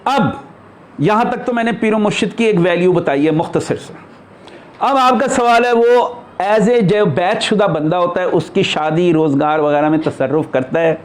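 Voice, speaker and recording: A man's voice: high voice, moderate pace. A man speaking as a spiritual teacher in an informal religious discourse, explaining emphatically and posing rhetorical questions.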